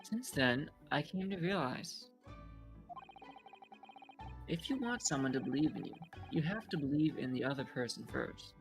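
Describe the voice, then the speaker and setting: A man voicing an earnest young defence lawyer in an amateur video game dub, with solemn, halting delivery. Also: on the high side, animated, soft background music. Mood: sincere.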